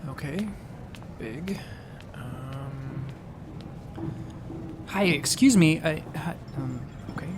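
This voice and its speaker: A man's voice: medium pitch, extremely slow, long pauses, noisy background. A man playing an earnest, polite, easily flustered newcomer doctor in a sci-fi comedy audio drama, with an awkward, hesitant manner. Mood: flustered, lost. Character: earnest, flustered, polite male doctor new to a space station.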